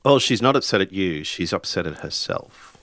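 A man improvising dialogue and narration in a tabletop roleplaying game, haltingly searching for words. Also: low voice, expressive, fairly bright tone, dry recording.

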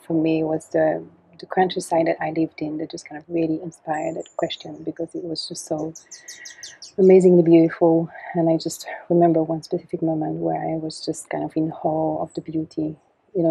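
A woman in her thirties from Belgium, a podcast guest talking reflectively on an outdoor porch, with many pauses and fillers. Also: low-pitched, vocal fry, warm tone, husky, muffled recording. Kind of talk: casual interview talk. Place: covered porch outdoors in the tropics.

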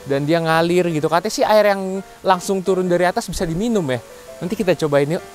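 A young man with a slightly raised pitch chats brightly to his viewers while filming outdoors on a handheld camera. Faint music sits in the background. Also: fast. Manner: chatty, upbeat, addresses viewers as guys. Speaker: vlogger. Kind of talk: casual travel vlog narration. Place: outdoors at a waterfall resort.

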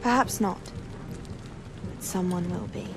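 A woman's voice: on the low side, measured pace, over music, close to an everyday voice. An actress playing an earnest, determined witch in a video game cutscene. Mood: guarded, persuasive.

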